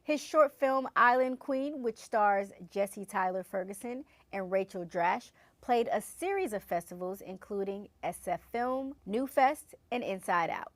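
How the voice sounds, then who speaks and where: A woman's voice: medium pitch, animated, measured pace, few pauses, no room sound. Polished woman event host, dry close sound. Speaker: event host introducing a keynote speaker. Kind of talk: scripted announcement.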